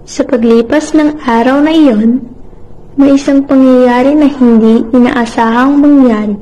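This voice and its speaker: A woman's voice: high voice, fairly even intonation, a little slow, mellow, poor audio. A woman narrating a scripted story for a puppet show in storybook style.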